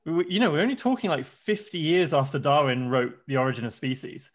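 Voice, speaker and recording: A podcast host chatting casually, quick to laugh and stopping to explain things for listeners. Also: medium pitch. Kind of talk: casual chat.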